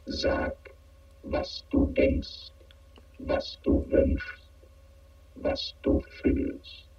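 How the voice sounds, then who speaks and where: High monotone alien interrogator. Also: male voice, very slow, heavily acted. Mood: cold, probing. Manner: flat, clipped, insistent questioning. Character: cold emotionless alien interrogator probing human feelings. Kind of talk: science fiction radio drama acting. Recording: echoing, dull band-limited sound.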